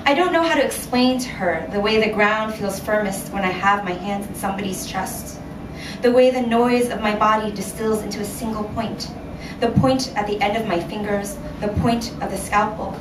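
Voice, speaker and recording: A woman author reading her short story aloud at a live event, measured and expressive, recorded in a room from a distance. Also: mid-range voice. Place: indoor venue at a reading series. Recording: roomy, noisy live recording from afar.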